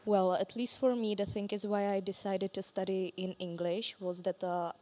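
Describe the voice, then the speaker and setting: A woman in her twenties, a student ambassador, speaking quickly and eagerly on a panel. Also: medium pitch, little pitch movement, mellow. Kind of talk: panel talk.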